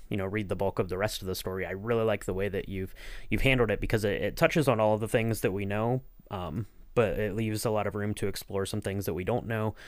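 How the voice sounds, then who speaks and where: A man's voice: lowish voice, fairly bright tone, no room sound. A man hosting a casual podcast interview, talking in long, rambling, circling sentences.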